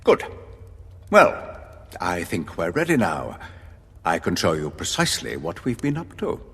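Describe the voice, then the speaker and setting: An actor playing a polite, self-satisfied, scheming official in an audio drama, husky and insinuating. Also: male voice, low-pitched, big pitch swings, measured pace, a bit bright, big-room sound, exaggerated voice. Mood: smug.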